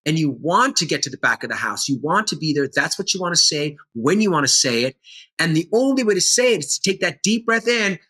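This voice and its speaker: A man's voice: fairly high pitch, animated, brisk, sharp tone, breathy edge. A middle-aged man, an actor and teacher, talking earnestly and with animation in a conversational interview.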